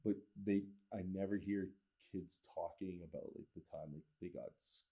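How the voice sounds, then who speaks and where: A man's voice: deep voice, fairly even intonation, normal speed, mellow, slightly rough. A man in his thirties, a school vice principal, speaks earnestly as a podcast guest, rambling through his thoughts with lots of "like" and restarts. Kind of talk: casual interview chat.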